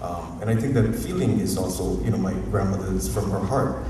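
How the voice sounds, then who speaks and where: A university professor from Hawaii with a Hawaiian accent, giving a rambling, informal, unscripted talk at a community forum and often chuckling. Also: male voice, sits low, a little fast. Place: museum auditorium. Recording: echoey hall, distant mic, faint noise.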